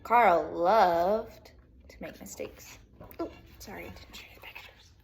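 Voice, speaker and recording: A woman teacher reading a children's picture book aloud in an expressive way, with casual asides to young pupils. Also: lowish voice, measured pace, gravelly, noisy background. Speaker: second grade teacher.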